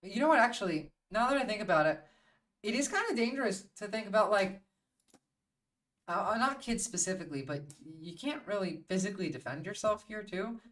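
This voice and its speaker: A woman's voice: medium pitch, animated, brisk, fairly bright tone. Blunt, sweary woman streamer, slightly distant mic. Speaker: livestream host. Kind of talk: casual opinionated livestream chat.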